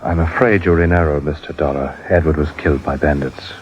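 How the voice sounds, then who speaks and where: Suave evasive planter, low-pitched, quick, coolly polite, formal, vintage radio drama, dull lo-fi sound. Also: male voice, steady tone, darkish tone. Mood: defensive, controlled. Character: suave, evasive planter husband suspected of murder. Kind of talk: 1950s radio drama acting. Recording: dull lo-fi old radio broadcast.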